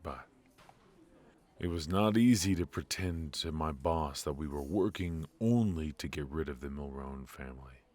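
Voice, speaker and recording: A man acting a brooding, ruthless yet remorseful mafia defector in an intimate noir ASMR audio drama, speaking in a halting, confiding way. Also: a bass voice, a little mellow. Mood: confiding, measured. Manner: halting, hesitant, confiding delivery.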